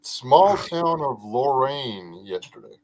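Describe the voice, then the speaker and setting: A middle-aged man co-hosting a casual podcast livestream, a laid-back joking storyteller with a soothing voice and frequent filler pauses. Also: medium pitch, sing-song, a little mellow, low-quality recording. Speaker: podcast co-host.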